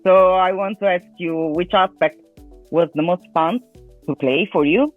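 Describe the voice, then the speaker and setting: A woman's voice: lowish voice, unhurried. Woman interviewer, phone-like sound. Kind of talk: press interview questions. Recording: thin phone-like sound, music behind.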